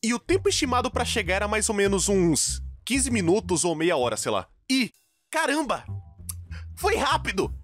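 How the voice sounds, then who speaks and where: A man's voice: sits high, big pitch swings, moderate pace, no echo, clean studio sound, soft background music. A man with a Brazilian accent narrating a comedic scripted story in an exaggerated, dramatic, slangy way. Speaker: storytime animation narrator, dubbing in Portuguese.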